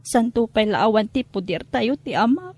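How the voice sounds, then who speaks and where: A woman acts as a young granddaughter in radio drama. Her voice is fairly high, and she sounds sad, polite and plaintive. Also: lively intonation, normal speed, a little mellow, husky. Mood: sorrowful, grateful. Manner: plaintive, respectful. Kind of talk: Ilocano radio drama acting.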